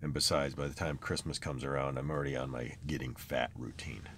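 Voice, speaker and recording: Middle-aged man, very low voice, casual grumbling vlog talk, faint background hiss. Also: fairly even intonation, brisk, a bit bright. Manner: chatty, grumbling, self-described chronic complainer. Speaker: fitness vlogger. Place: parked car, then outside a truck, then home office.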